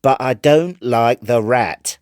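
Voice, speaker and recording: An uneasy, stubborn working shipwright voiced by a male narrator in a storybook tale. He speaks up in a raised pitch, bluntly and a little haltingly. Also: a little mellow, clear, resonant tone, no room sound, clean studio sound, lightly acted. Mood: blunt, wary.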